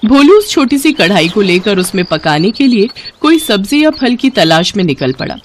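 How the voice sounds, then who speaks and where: A woman narrating a bedtime cartoon story in an expressive, scripted storybook style. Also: mid-range voice, moderate pace, slight background noise.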